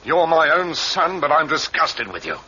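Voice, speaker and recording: A man's voice: fairly high pitch, big pitch swings, moderate pace, pushed and bright. An actor playing a stern, middle-aged father, indignant and scolding in a vintage radio crime drama. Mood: indignant, disgusted. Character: irate, stern father of a grown son. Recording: dull, hissy vintage radio recording.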